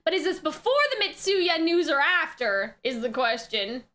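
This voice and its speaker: A woman giving casual, excited reaction commentary, thinking aloud in slangy, exclamatory bursts. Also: sits high, moderate pace. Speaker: reaction video host.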